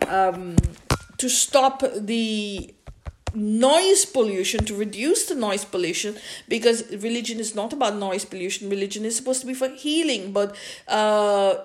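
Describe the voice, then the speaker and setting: A woman's voice: medium pitch, unhurried. A woman giving an informal opinion monologue as a podcast host, emphatic and repeating phrases for stress. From India.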